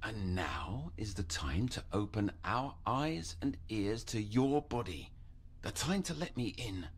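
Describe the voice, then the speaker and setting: A man's voice: on the low side, sing-song, measured pace, husky, exaggerated voice. A man playing an obsessive, controlling psychiatric counsellor in an audio drama, coaxing and grandiose, with a formal, theatrical delivery. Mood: intense, coaxing.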